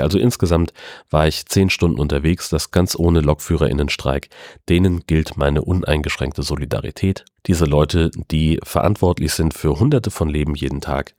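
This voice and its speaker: A man's voice: extremely low pitch, a little mellow, no echo, clean studio sound. A man hosting a solo podcast from home, telling stories in a rambling, chatty way with wry asides. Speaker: podcast host. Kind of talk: casual solo podcast monologue.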